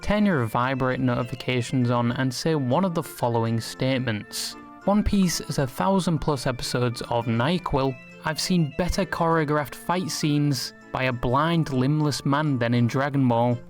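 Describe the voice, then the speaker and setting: A man narrates a scripted comedy video essay at an ordinary male pitch. His delivery is sardonic and crude, and music plays faintly behind him. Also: a little slow, hardly any pauses. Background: background music.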